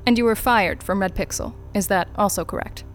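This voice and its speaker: An actress playing a coolly polite, probing corporate interrogator in an audio drama, speaking in a brisk, formal, dryly sardonic way. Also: female voice, medium pitch, music in the background, close to an everyday voice. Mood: dryly needling.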